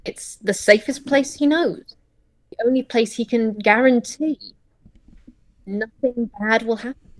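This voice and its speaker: A woman improvising story narration for a roleplay, in reflective, halting phrases. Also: fairly high pitch, low-quality recording.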